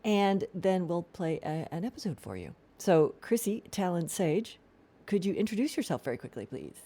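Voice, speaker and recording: A woman's voice: fairly low pitch, big pitch swings, normal speed, mellow. A woman hosting a podcast in a casual, informal interview style with a chatty way of talking. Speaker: host.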